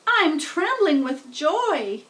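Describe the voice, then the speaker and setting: An earnest young boy pig from a storybook, acted out by a woman, speaks in a high voice. He is gentle and tenderhearted, choosing his words politely and formally. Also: big pitch swings, slow pace, recorded from a distance, exaggerated voice. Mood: joyful.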